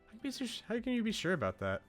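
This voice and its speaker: A man voicing a wistful, gentle young woman from a visual novel, in a musing manner. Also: high voice, huge pitch swings, rapid-fire, voice pushed up. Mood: earnest, doubting. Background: game music.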